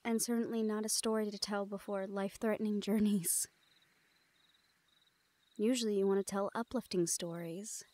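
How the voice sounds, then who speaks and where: A woman playing a teasing, protective shadow-folk thief in an intimate fantasy audio roleplay, speaking softly and often dropping toward a murmur. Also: mid-range voice, fairly even intonation, moderate pace, long pauses, no room sound, natural voice. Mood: somber storytelling.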